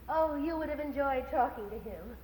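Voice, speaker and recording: A woman's voice: sits high, moderate pace, a little mellow, slight background noise, natural voice. An actress playing a chattering, pretentious widowed landlady in a stage musical, giggly and affected, dropping French phrases. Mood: gushing, flirtatious. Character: gushing pretentious widowed landlady, middle-aged. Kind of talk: stage musical, singing and acted dialogue. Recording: live stage soundboard, echoey theater hall.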